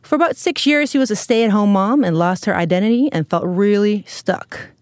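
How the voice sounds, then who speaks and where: A woman hosting a podcast, warmly introducing her best friend and asking about her struggles. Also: mid-range voice, normal speed, no room sound, studio quality. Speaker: podcast host. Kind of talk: podcast interview. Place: podcast studio.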